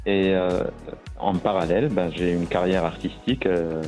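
A man's voice: low voice, fairly even intonation, moderate pace, a little mellow, faint music behind. A man in his fifties from Morocco, a guest talking about his work in a casual interview, with many fillers and restarts. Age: 50s. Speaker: guest, pharmacist and visual artist.

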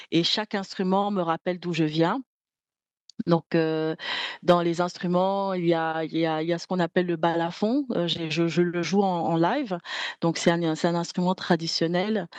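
A woman's voice: on the low side, darkish tone, dry recording. A woman singer from Cameroon answering interview questions, hesitant and searching for words, often restarting and repeating herself. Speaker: singer and balafon player.

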